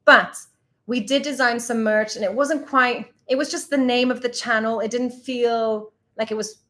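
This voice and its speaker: A chatty woman from Sweden whose first language is Swedish hosts a relaxed live question session with her partner. She laughs easily and reads viewer questions aloud in a friendly way. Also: on the high side, normal speed. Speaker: travel vlogger co-host. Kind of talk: casual live Q&A chat.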